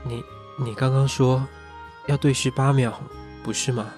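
A man playing an aloof, sharp-tongued but shy teenage schoolboy in a radio drama, curt and reserved, with a Taiwanese accent. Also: mid-range voice, fairly even intonation, a little slow, soft background music, lightly acted. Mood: shy, flustered. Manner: curt, reserved, easily flustered. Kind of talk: Mandarin boys' love radio drama acting.

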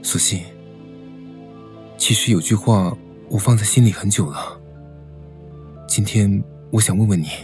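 Man acting gentle, devoted young man. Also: on the low side, measured pace, long pauses, faint music behind, lightly acted. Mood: tender, earnest. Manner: tender, earnest, caring. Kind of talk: Mandarin romantic radio drama acting.

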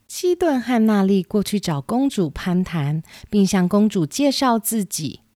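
A woman's voice: medium pitch, big pitch swings, measured pace, warm tone, no echo, studio quality. A woman narrating a scripted children's fairy tale as the storyteller of an audio show. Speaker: storyteller, podcast host. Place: dry home recording over music.

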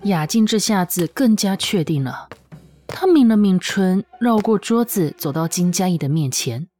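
一位女性有声小说旁白，照着剧本富有表情地讲述故事。. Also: female voice, medium pitch, animated, normal speed, dark tone. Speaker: audiobook narrator. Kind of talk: expressive scripted story narration. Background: background music.

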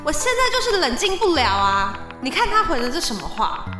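A woman's voice: high voice, sing-song, a little fast. A young woman playing a sassy, whiny, dramatic teenage schoolgirl in an audio drama, with a Taiwanese accent. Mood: upset. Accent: Taiwanese Mandarin. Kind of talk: amateur audio drama acting. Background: music.

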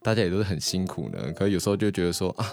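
A man's voice: low-pitched, racing, loud music behind the voice. A man hosting a radio talk show in a casual, conversational style. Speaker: radio host.

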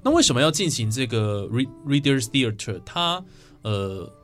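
A man's voice: mid-range voice, sing-song, a little slow, a bit bright. Taiwanese-accented man hosting over music. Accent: Taiwanese Mandarin. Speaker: programme host. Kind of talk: studio interview.

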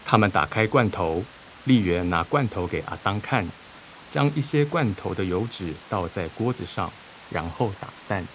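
A man's voice: low-pitched, animated, moderate pace. A man reading scripted narration for a radio drama. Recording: faint background hiss.

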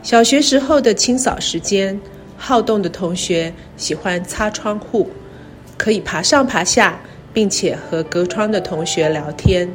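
A middle-aged woman with a Taiwanese Mandarin accent gives a scripted, reflective talk in measured, careful phrases. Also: mid-range voice. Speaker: university president. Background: background music.

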